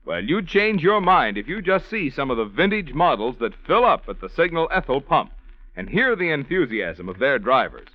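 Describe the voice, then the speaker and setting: A man's voice: on the high side, big pitch swings, normal speed, dry recording. A man reading a 1940s radio commercial as an announcer, emphatic and salesmanlike. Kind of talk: 1940s radio advertising read. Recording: dry, muffled old radio recording.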